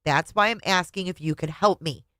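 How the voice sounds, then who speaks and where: A woman voicing an exasperated wife in a story, pleading and high-strung as she begs to be understood. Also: moderate pace, bright, forceful tone, dry recording, clean studio sound. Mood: reasoning, frustrated.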